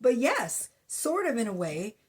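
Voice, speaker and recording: A woman giving a casual tarot reading to her viewers, blunt and profane in her chatty way, talking through a sore, slightly hoarse throat. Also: fairly high pitch, very animated, a little slow. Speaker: tarot reader.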